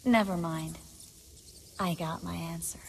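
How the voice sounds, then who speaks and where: An actress playing a young sorceress in a video game, wry, guarded and teasing. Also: female voice, fairly low pitch, fairly even intonation, unhurried, gravelly, in a large hall, slight background noise.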